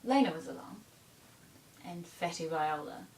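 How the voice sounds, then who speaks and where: A woman performing a stage monologue as a troubled young bohemian runaway, confessing in a deliberate, haunted manner with pauses. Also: expressive, a little mellow. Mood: haunted, recounting. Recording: roomy, distant mic, faint rumble.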